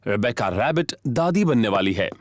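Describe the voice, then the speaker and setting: A man's voice: medium pitch, animated, moderate pace, no room sound, studio quality, lightly acted. A man playing a friendly storybook narrator in a children's cartoon, reading scripted narration. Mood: storytelling.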